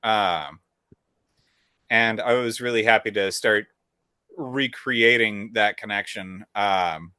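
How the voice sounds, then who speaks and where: A man's voice: lowish voice, takes it slowly, pauses often, bright, forceful tone, no room sound. A man co-hosting a casual podcast, blunt and teasing, swearing casually. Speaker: podcast co-host. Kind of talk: casual chat.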